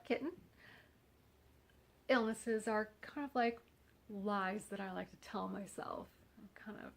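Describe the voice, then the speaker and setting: A congested woman chats casually at home, her voice nasal and a little gravelly. She rambles in a loose, unhurried way and keeps lightly poking fun at herself. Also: a little high, a little hiss or hum. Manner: rambling and wry, with self-mocking humor. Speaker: vlogger. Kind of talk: casual chat.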